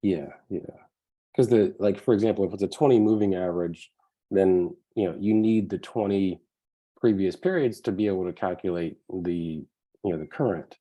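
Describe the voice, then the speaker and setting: A hoarse man asking technical questions in a casual call, relaxed and thinking aloud. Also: low voice, moderate pace.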